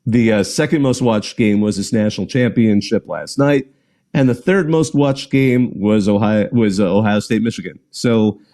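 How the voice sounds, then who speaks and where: Mellow-voiced man hosting a call-in show. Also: lowish voice, moderate pace. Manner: chatty, rambling with restarts and laughs. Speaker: podcast host. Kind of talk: casual call-in show chat.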